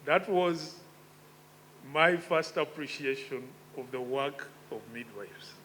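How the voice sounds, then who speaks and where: A man in his fifties speaks from a conference podium with a slightly raised pitch, unhurried and reflective, lifting his voice to stress key points. His comes through clearly over a faint background hum. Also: fairly bright tone, poor audio. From Uganda. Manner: measured, reflective, with emphatic rises. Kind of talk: conference speech. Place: conference hall.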